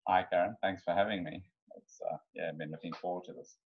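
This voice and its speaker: South african guy, pretty deep voice, lots of ums, kinda muffled lo-fi sound. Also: steady tone, fast talker. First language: Afrikaans. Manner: hesitant, many ums and restarts. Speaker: guest. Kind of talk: relaxed interview storytelling. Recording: dull lo-fi audio.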